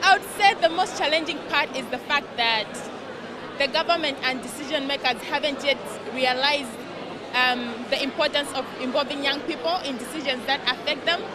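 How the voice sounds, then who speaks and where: A woman's voice: sits high, moderate pace, a loud crowd, heavy background noise. A woman from Zambia, a conference delegate speaking fluently and articulately in a vox pop interview. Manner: articulate, fluent, says "you know".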